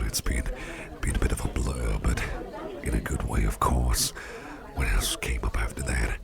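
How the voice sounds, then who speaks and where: A man voicing a deep gravelly, chummy party boss. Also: heavily acted. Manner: chummy, chatty and teasing, soft half-whispers. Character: jovial, eager male office boss at a party. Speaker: ASMR roleplayer. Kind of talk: ASMR roleplay, personal attention.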